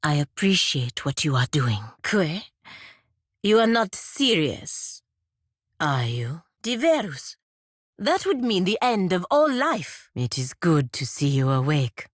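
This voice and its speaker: An actress playing a proud, stern, loyal warrior envoy in a fantasy video game, speaking in a formal, deliberate, earnest manner. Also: female voice, lowish voice, very animated, no echo, studio quality, heavily acted. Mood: solemn, then outraged, incredulous. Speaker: game character voice actor. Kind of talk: scripted fantasy game dialogue.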